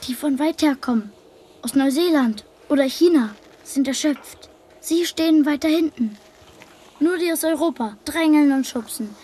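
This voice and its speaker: A woman playing a solemn young child narrator in a radio drama, hushed and matter-of-fact. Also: sits high, slight background noise.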